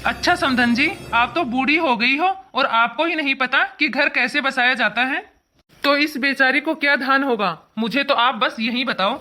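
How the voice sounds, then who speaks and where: Woman playing a high, bright, indignant cartoon father. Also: normal speed, soft background music. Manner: emphatic, lecturing, repetitive scolding. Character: indignant, protective father of a married daughter. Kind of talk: cartoon family drama dialogue.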